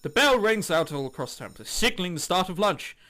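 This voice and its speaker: A man's voice: high-pitched, expressive, brisk, bright, forceful tone. A man hosting a gaming channel, reading a visual novel aloud in a theatrical, jokey way with ad-libbed commentary.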